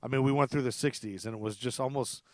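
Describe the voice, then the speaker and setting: Podcast host, male, loose and rambling, mid pitch, dry mic. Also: steady tone, quick pace, no echo. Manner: rambling, thinks aloud with many fillers. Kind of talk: casual chat.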